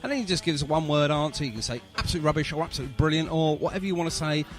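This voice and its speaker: A man's voice: a little high, expressive, racing, soft background music. A man hosting a casual radio interview with chatty, teasing banter. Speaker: radio show host.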